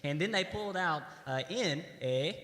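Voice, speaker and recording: Eager, hesitant Canadian yarn-spinner male actor, comedy stage sketch, high voice, big reverberant room. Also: lively intonation, normal speed, fairly bright tone. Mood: folksy, rambling. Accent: exaggerated Canadian. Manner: hesitant, folksy, ends phrases with "eh". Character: folksy Canadian storyteller man, rambling and eager. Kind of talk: live comedy sketch storytelling. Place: large hall, live stage show. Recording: echoey hall, live stage sound.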